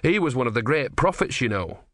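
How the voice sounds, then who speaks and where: A man's voice: medium pitch, big pitch swings, fairly quick, a bit bright, dry recording, studio quality. A man voicing an earnest, devout young believer who is insistent and easily outraged, in a storybook reading. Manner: insistent, indignant, pious.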